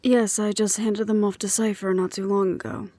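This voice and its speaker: A woman playing a wry, affectionate ex-hunter in an intimate audio roleplay, speaking softly and teasingly, with breathy asides. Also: mid-range voice, level tone, moderate pace, natural voice. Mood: tender, reassuring. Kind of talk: intimate one-sided audio roleplay acting.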